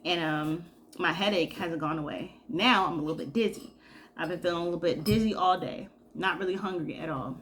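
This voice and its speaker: A sick, congested young woman from Georgia chatting casually in a vlog, telling a story in an animated way and acting out what people said. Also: lowish voice, a little fast, a little hiss or hum. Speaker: vlogger.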